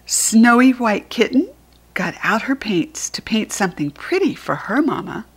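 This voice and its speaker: A woman with an American accent reading a children's picture book aloud in a deliberate, teacherly way. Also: fairly high pitch, big pitch swings, breathy edge, low-quality recording. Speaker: storybook reader.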